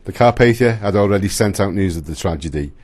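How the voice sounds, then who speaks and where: Very deep-voiced male drama narrator. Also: animated, a little mellow, no echo, soft background music. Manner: solemn, measured. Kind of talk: scripted radio drama narration.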